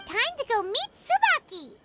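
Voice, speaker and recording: Squeaky, excitable little fairy girl. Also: normal speed. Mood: curious, chirpy. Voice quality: squeaky, childlike. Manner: talks about herself in third person, exclamatory. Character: tiny excitable childlike fairy companion, chatty and curious. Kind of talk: animated video game voice acting. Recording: game music behind the voice.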